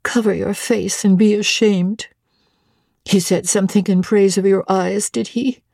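A woman's voice: medium pitch, steady tone, measured pace, vocal fry, dark tone, dry recording, studio quality. A woman playing a young governess who sternly scolds and commands herself in a dramatic literary monologue. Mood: scornful reproach. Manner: severe, commanding, scornful.

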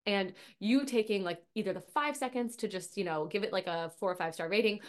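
A woman's voice: mid-range voice, brisk. A woman hosting her own podcast, chatty and upbeat with many fillers and restarts, a little nasal from a cold. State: getting over a cold, a bit nasal. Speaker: podcast host and business coach for piano teachers. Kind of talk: casual solo podcast talk.

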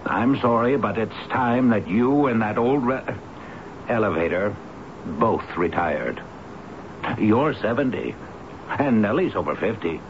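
An actor playing a blunt, impatient landlord in a radio drama, speaking in a curt, dismissive, businesslike way. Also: male voice, expressive, measured pace, pauses often. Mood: firm. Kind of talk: 1970s radio drama acting. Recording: muffled old radio broadcast, clear rumbling noise.

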